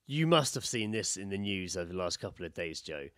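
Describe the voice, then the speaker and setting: A man's voice: low voice, very animated, brisk, a bit bright, dry recording. Sweary British man, close dry sound. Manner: sweary, blunt, laddish. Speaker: podcast co-host. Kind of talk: casual banter, reading out stories.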